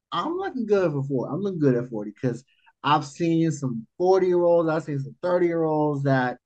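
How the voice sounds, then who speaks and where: A man's voice: high voice, poor audio. Emphatic man in his forties, casual chat. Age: 40s. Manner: animated, emphatic, repeats himself. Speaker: podcast co-host.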